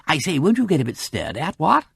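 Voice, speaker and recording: A man's voice: on the high side, huge pitch swings, brisk, gravelly, slightly rough, no echo, clean studio sound, voice pushed up, full character voice. A man voicing a tactless young aristocrat who blusters and stammers in upper-class slang. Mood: blustering.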